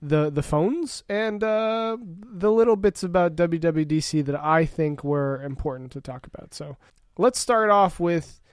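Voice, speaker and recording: A man hosts a laid-back tech podcast, rambling through a rundown with plenty of fillers. His voice is smooth and clear, and he sits a little higher than most men. The sound is dry and close, with no room echo. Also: measured pace, hardly any pauses. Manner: relaxed, uses many fillers. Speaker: podcast host. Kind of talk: casual tech podcast chat.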